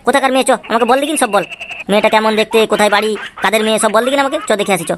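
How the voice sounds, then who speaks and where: A woman's voice: a little high, rapid-fire, slight background noise, close to an everyday voice. A woman voicing an exasperated, foul-mouthed, sly father in a comic cartoon, grumbling and wheedling. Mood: coaxing, placating. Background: music.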